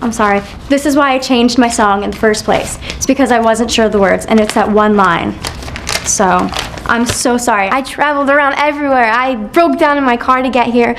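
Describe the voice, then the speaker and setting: A hoarse woman auditioning on a talent show, apologetic and talkative. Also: a little high, a little fast, noisy background. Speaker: auditioning contestant.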